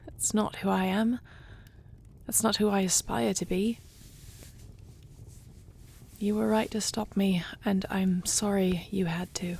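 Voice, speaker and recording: A woman playing a resolute, noble dragon-shifter queen in a fantasy audio roleplay, speaking earnestly and formally in a breathy, husky voice. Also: medium pitch, a little mellow. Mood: tender, remorseful. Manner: earnest, measured, formal phrasing.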